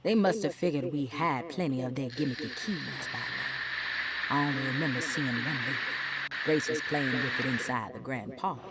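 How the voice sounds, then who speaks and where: A worried woman narrating her diary in a video game. Her voice is very deep for a woman, and her measured delivery slips into hushed whispers. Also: very noisy. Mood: worried, secretive. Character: anxious woman reading her worried diary entry aloud. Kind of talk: scripted video game voice acting.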